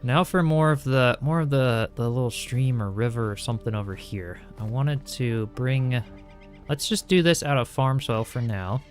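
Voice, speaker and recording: A young man streaming a building game, talking calmly and softly while reading chat aloud, with frequent small chuckles. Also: mid-range voice, moderate pace. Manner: soft-spoken, calm, chuckles often, reads chat aloud. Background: faint game music.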